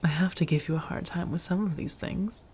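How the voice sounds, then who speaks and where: A woman playing an affectionate, teasing vampire roommate in an intimate ASMR roleplay, speaking softly and breathily to the listener. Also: lowish voice, breathy edge. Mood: playfully teasing. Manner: gently teasing, drawn-out phrasing, soft chuckles.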